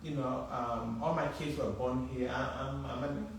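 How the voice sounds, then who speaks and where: A man's voice: mid-range voice, steady tone, normal speed, slight background noise. A middle-aged man with a Nigerian accent, a plastic surgeon who explains emphatically and repeats words while he thinks. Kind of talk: interview on a live stream. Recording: echoey room, mic at a distance.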